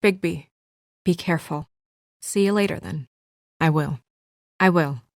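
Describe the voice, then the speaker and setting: A woman's voice: deep voice, unhurried, vocal fry, no echo, studio quality. A woman voicing an anxious, businesslike young female official in a video game, speaking in an earnest, guarded way with naturalistic delivery. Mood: guarded, concerned.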